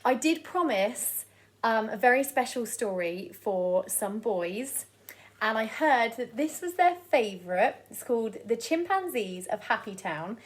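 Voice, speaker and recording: A woman reading aloud to children as a storyteller, in an expressive style. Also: fairly high pitch. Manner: deliberate, sing-song delivery of rhyming verse.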